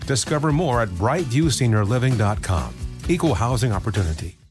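A male advertisement announcer with a mid-pitched, very varied intonation. He reads scripted copy in a polished, measured way over clear background music. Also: lively intonation. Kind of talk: scripted commercial narration.

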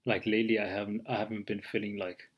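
A man's voice: fairly low pitch, flat delivery, fast talker. A man in his twenties hosting his own podcast, talking casually and rambling with lots of fillers and some swearing. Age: 20s. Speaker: podcast host. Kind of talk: casual solo podcast monologue.

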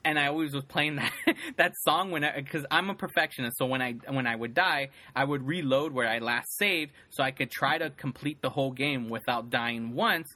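Guy cohosting a podcast, bright voice, keeps restarting sentences, loose chatty vibe. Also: medium pitch, normal speed. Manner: rambling, many restarts and fillers. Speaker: podcast co-host. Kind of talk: casual chat.

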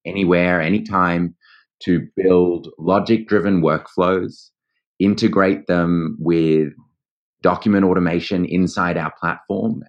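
Australian male guest, low register, polished and fluent interview answers. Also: muffled recording. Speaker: legal tech founder, guest.

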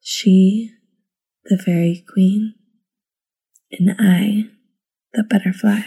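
A woman telling a personal story and reading poems aloud in an intimate, reflective way, with halting pauses. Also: medium pitch, fairly even intonation, warm tone, husky. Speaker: listener contributor.

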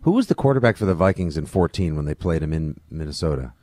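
A man's voice: extremely low pitch, sing-song, quick pace, a little mellow, no room sound. A man co-hosting a sports podcast, talking football casually with strong opinions and emphatic, colorful phrasing.

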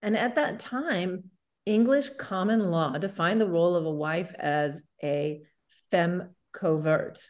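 A woman's voice: fairly low pitch, takes it slowly, a little mellow. A woman co-hosting an educational discussion, explaining things in an earnest manner. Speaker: show co-host.

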